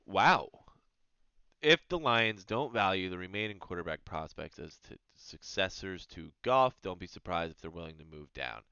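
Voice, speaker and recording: A man with a low, smooth voice hosts his own sports video, reading a mock draft aloud. He reacts casually and with animation between the lines. The sound is dry and a little dull, as if from a modest home mic. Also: no room sound. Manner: animated, reads passages aloud then reacts with quick asides.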